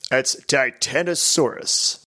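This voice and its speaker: A man's voice: mid-range voice, slow, pushed and bright. A man co-hosting a casual podcast, tossing in dry, teasing quips. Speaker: podcast co-host.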